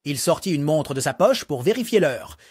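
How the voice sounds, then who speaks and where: A man narrating a scripted storybook for young children in an expressive read-aloud manner. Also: fairly high pitch, normal speed, husky, no room sound, studio quality.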